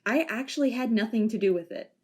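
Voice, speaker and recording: A woman's voice: a little high, quick pace, mellow, breathy edge. A woman playing a retired policewoman in a wheelchair, dry and matter-of-fact in an amateur audio drama. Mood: wry. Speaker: narrator and lead character.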